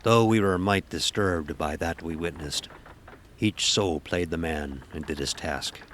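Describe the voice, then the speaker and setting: A man's voice: deep voice, unhurried, fairly bright tone, a little hiss or hum. A man narrating a scripted horror story as an old-time sailor, grave and dramatic, with archaic nautical diction.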